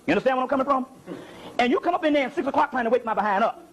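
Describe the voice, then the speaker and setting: A man with a strikingly high-pitched voice lectures with passion in an informal, colloquial way. He addresses listeners directly, like a street-level spiritual teacher, and keeps checking that they follow. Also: very fast, slight background noise. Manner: colloquial, punctuated with rhetorical check-in questions.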